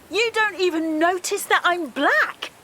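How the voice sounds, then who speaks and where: High-pitched, indignant, outspoken woman activist. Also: takes it slowly, pushed and bright, exaggerated voice. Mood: angry, hurt. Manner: challenging, pointed questioning. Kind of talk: naturalistic audio drama acting.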